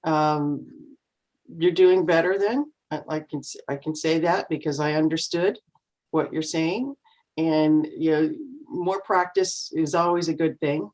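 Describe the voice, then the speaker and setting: A woman coaching learners in an online English class, explaining calmly and encouragingly over a video call. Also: low voice, a little slow, poor audio. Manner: encouraging, explanatory, acts out nervous voices. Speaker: co-host and speaking coach.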